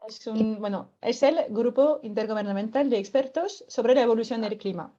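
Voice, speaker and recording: A woman's voice: a little high, moderate pace, mellow, dull audio. A woman from France speaking with a French accent, patiently explaining a climate workshop as a radio guest, with many filler words and light laughs.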